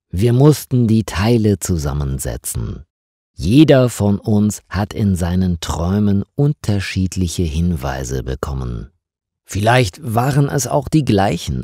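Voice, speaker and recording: A man voicing a calm, eerie woman colonist on Mars in an audiobook, explaining things in a measured, solemn way. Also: low voice, husky, no echo, studio quality, heavily acted.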